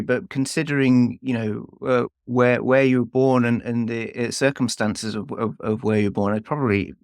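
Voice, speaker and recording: A male podcast host speaks at a middle pitch, earnest and a little hesitant. He often pauses and restarts, and the dry recording leaves no room around his voice. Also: dry recording. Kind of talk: interview hosting.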